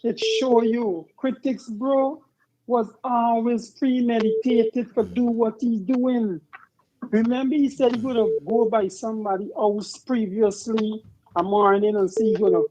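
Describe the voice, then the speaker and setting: A man calling in to argue his point insistently, in rapid bursts and saying bro often. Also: very high voice, steady tone, warm tone. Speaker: caller. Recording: muffled phone line.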